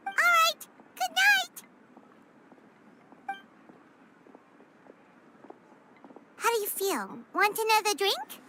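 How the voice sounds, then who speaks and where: A woman voicing a small, childlike fairy companion in a video game, chirpy, excitable and greedy for food, with a squeaky voice. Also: measured pace, a little hiss or hum, exaggerated voice. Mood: cheeky. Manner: refers to self in third person, exclamatory.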